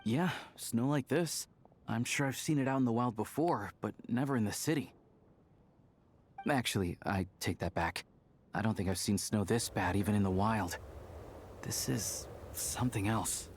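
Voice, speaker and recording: An actor playing an easygoing, dependable young theater worker in a video game, speaking in a plain, informal, steady way. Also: male voice, on the low side, moderate pace, close to an everyday voice. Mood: uneasy.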